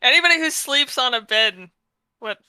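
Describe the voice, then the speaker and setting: A woman's voice: sits high, animated, normal speed, bright, forceful tone, a little hiss or hum, rough recording. A woman bantering casually and teasingly while streaming a video game. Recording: game music under the voice.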